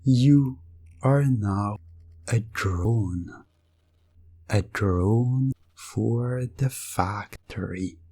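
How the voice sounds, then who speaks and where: A man narrating scripted hypnosis ASMR in the second person, drawing out his phrases in a halting, suggestive way. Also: fairly low pitch, mellow, husky, no room sound. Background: music.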